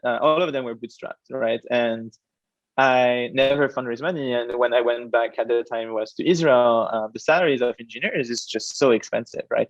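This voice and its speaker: A young man from France talks fast and with animation, dropping in frequent fillers as he explains his startup. His voice sits mid-pitch. The sound is dull and lo-fi, and the room gives it a dry, close quality. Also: no room sound. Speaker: startup co-founder. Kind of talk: conversational interview answers.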